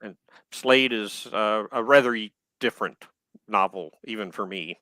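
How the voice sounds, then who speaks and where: An older man with a Southern drawl speaks over a video call, taking his time with ums and a dry wit. His tone is bright, and the sound is close and dry with no room around it. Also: fairly low pitch, dry recording. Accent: Southern American. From Texas. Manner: unhurried, hesitant with many ums, dry humor. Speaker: author guest. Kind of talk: live video chat interview.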